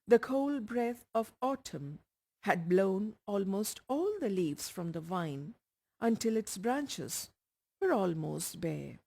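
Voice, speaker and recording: A woman with an Indian accent reading a short story aloud, careful and expressive. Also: mid-range voice, takes it slowly, gravelly. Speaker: story reader. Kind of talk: scripted story narration.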